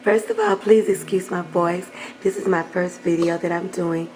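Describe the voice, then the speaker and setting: A hoarse middle-aged woman chatting warmly to viewers while cooking in her home kitchen, often clearing her throat. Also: lowish voice, steady tone, normal speed, slight background noise, low-quality recording. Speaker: cooking channel host. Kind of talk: casual cooking vlog chat.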